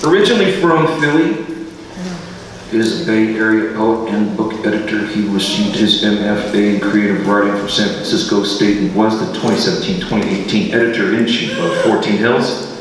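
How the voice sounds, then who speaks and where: A man's voice: lowish voice, normal speed. A man informally hosting a live literary reading and introducing the readers. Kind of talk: informal live event emceeing. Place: live reading venue, indoors. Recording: echoey hall, distant mic, clear noise.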